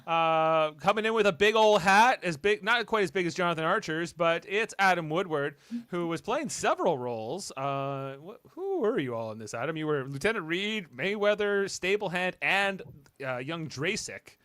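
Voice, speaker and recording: A man's voice: high-pitched, animated, normal speed, a bit bright, clean tone, no room sound, clean studio sound. A man hosting a podcast in casual chat, rambling and hesitant, with a joking way of talking. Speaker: podcast host.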